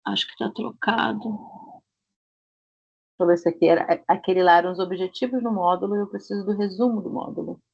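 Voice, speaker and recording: A woman's voice: medium pitch, moderate pace, vocal fry, slightly rough. Carioca woman, online Portuguese teacher, stretches example words, dull call audio. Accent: Rio de Janeiro Portuguese. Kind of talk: online language class. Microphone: video call. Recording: muffled video call audio.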